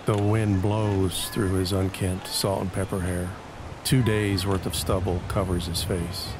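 A man narrating an audio drama in a deliberate, brooding way, pausing between short phrases for dramatic weight. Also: low voice, little pitch movement, background noise.

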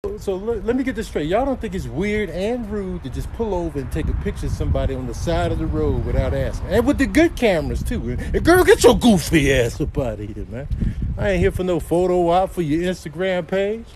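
A man voices an exasperated bear in a comic animal dub. He scolds indignantly in a high, animated voice over clear outdoor noise. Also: a little fast. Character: indignant, fed-up male bear by the roadside.

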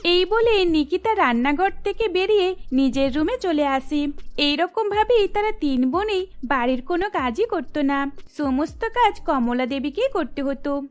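High-voiced woman narrating a cartoon story. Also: a little slow. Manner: even, storytelling.

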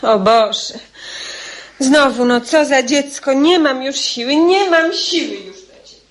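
A woman's voice: sits high, unhurried. An actress playing an exasperated, weary mother teaching her young son in a radio play.

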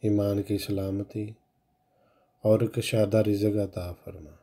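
A man slowly reciting a long devotional supplication in a solemn, measured way. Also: fairly low pitch, little pitch movement, mellow, husky. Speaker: prayer reciter.

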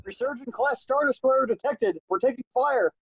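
A man's voice: very high voice, normal speed, fairly bright tone, breathy edge, dry recording, full character voice. A man reading an urgent military technician calling out alerts in a script table read, on a dull lo-fi call. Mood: urgent alarm.

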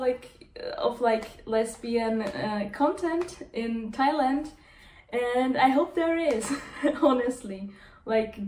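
Young woman, chatty and giggly, unhurried, a bit high; recorded off mic in an echoey room. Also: darkish tone, distant mic. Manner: rambling, chatty, giggly, many fillers. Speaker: reaction channel host. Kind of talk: casual chat to camera. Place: bedroom at home, indoors.

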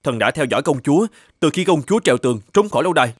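A man's voice: on the high side, animated, rapid-fire, husky, no room sound, studio quality. A man playing a devoted, dutiful young knight, speaking earnestly and respectfully in a children's cartoon. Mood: protective, respectful. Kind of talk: dubbed children's cartoon acting.

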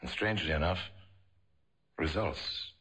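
A man narrating a vintage radio crime drama as a grave, deliberate storyteller. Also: deep voice, very noisy. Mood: grave, dramatic. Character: grave storyteller narrating police murder cases. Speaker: narrator and host. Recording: vintage radio recording, faint music.

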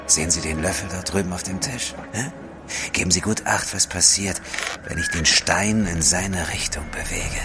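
An actor playing a grave, self-assured scientist in a German audio drama, speaking formally and portentously. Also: male voice, low voice, normal speed, over music, close to an everyday voice.

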